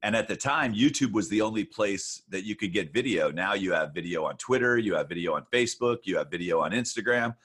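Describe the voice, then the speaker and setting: A man's voice: lowish voice, animated, a little fast, few pauses, fairly bright tone, slightly rough. A man hosting a podcast, explaining his points with everyday analogies in an engaged way. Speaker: podcast host, music business coach. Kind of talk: casual podcast interview.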